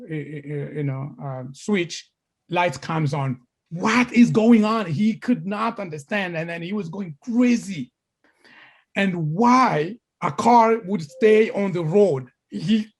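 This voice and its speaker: A man's voice: on the high side, slightly rough. Man lecturing in a storytelling way. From Congo. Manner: repeats words, many restarts.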